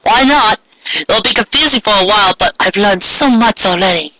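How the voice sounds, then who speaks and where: A man's voice: sits high, moderate pace, pushed and bright, no room sound, pitched above the performer's own voice, poor audio. A man voicing a confused, anxious teenage girl in an exaggerated, questioning manner for a fan fiction reading. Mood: bewildered.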